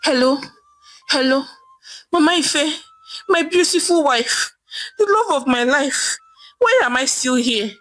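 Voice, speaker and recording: A man's voice: extremely high pitch, lively intonation, unhurried, pauses often, bright, forceful tone, voice pushed up, exaggerated voice, low-quality recording. A woman voicing a pompous jailed man with a Nigerian accent, whiny and pleading with his wife.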